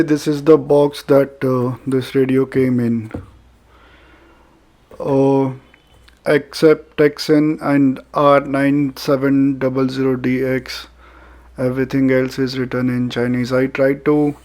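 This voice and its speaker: A man with an Indian accent narrating a casual unboxing review, speaking haltingly with frequent filler pauses. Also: mid-range voice, a little mellow. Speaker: product reviewer.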